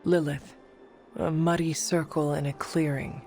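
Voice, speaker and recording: An actress playing a solemn warrior heroine in a video game, speaking in a measured, formal, stoic way. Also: female voice, sits low, flat delivery, dark tone, faint music behind. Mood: shaken.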